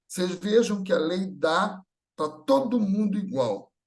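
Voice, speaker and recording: Brazilian male lay preacher, high voice, informal and explanatory, peppering the talk with rhetorical questions. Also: animated, unhurried. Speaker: speaker at a Spiritist gathering. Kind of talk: religious talk, conversational and explanatory.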